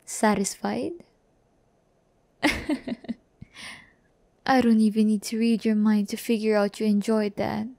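A woman's voice: fairly high pitch, steady tone, takes it slowly, gravelly, long pauses, mellow, dry recording. A woman playing a seductive, haughty yet tender demon in an ASMR roleplay, teasing and often near a whisper. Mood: amused, teasing.

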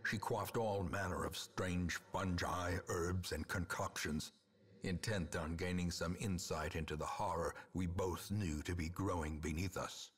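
A grim old nobleman of gothic horror, narrates a video game with brooding remorse. His voice sits very low and is husky, and he delivers ornate lines with grandiose deliberation. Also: steady tone, measured pace. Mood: sombre, regretful. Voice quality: deep, husky.

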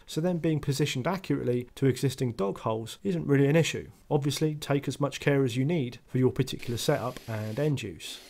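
A man's voice: medium pitch, mellow. A man giving a scripted voice-over tool review, matter-of-fact with dry, understated asides.

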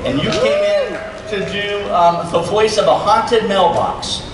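A man's voice: high voice, huge pitch swings, unhurried, far from the mic. A middle-aged man hosting an informal onstage interview. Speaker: panel host. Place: large stage hall, recorded from audience. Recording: echoey, noisy crowd hall from afar.

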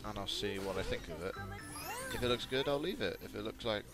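A British man hosts a casual let's play in a low voice. Loud game music plays behind his commentary. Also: expressive, a little fast. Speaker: gaming video host. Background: video game music and dialogue.

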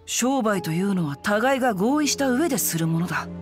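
A woman's voice: medium pitch, animated, fairly quick, over music, natural voice. A woman voicing a courteous, righteous, bookish teenage boy in an anime game, composed and polite. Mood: firm. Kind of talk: Japanese anime game dubbing.

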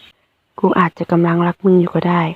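A woman voicing a possessive young male tough-guy student who talks slowly, low and soft, in a dull lo-fi recording. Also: monotone, slightly rough, no room sound. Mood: tender.

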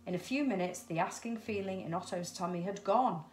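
A woman's voice: mid-range voice, brisk, a little mellow, faint music behind. A middle-aged woman narrating a children's story expressively, recorded at home. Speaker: storybook reader. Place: small room at home, indoors. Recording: echoey domestic room.

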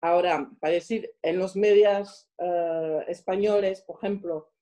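French woman w accent being interviewed, soft dark mellow tone, kinda low, stops and starts looking for words. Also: steady tone. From France. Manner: halting, searching for words. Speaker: interviewed guest. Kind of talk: reflective interview answers.

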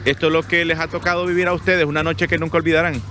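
A man's voice: fairly high pitch, level tone, very fast, sharp tone, faint music behind. A man reporting live news from a roadside, hesitant with frequent fillers, on a handheld mic. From Honduras. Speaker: field reporter. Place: outdoors on a roadside.

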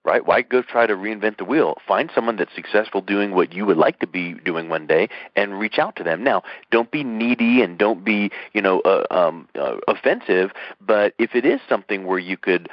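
Low-voiced radio host guy, chatty and upbeat, kinda dull dry audio. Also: normal speed, few pauses, dry recording. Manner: upbeat, chatty, sums up guest's points. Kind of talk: interview host chat.

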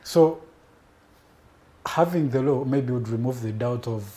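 A male host with a mellow, darker tone steers a studio panel, interjecting short agreements and questions. His voice sits at a middle pitch. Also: unhurried. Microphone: lapel mic.

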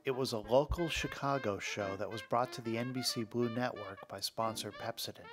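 A man's voice: medium pitch, normal speed. A man hosting and narrating a scripted documentary. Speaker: podcast host, narrator. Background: music.

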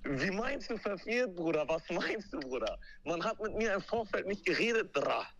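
A man's voice: high-pitched, sing-song, normal speed, creaky, fairly bright tone. A man on a phone call, chatting casually in street slang sprinkled with Arabic words. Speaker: phone caller. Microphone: phone call played into a stream. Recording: dull, band-limited phone call.

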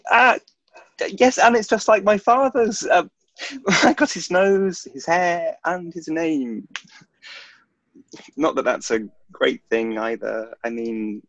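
A man's voice: fairly high pitch, a bit bright, no echo, exaggerated voice. A young British man playing a nervous, chatty, self-deprecating schoolboy wizard in a play reading, with a hesitant, stammering delivery. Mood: awkward, self-deprecating. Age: young adult. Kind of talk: theatrical table read. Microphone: video call. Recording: dull video-call audio.

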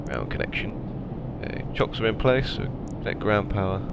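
A low-pitched man talks through his flight deck setup in a relaxed, chatty way, full of ums and okays. He has a cold, and his voice sounds slightly raspy. A constant hum of cockpit ambience sits clearly behind him. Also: moderate pace. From England. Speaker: flight simulator player. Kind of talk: casual narrated cockpit walkthrough.

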